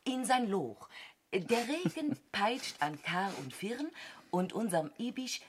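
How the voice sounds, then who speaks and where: An actress in a German radio play as a reluctant crew member, reciting nonsense verse in a husky, drawn-out singsong. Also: female voice, mid-range voice, very animated, slight background noise. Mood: theatrical.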